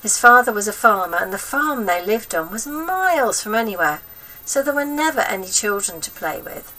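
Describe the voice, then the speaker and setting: A woman reading a children's story aloud as an expressive narrator. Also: medium pitch, distant mic, a little hiss or hum, rough recording. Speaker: storybook reader.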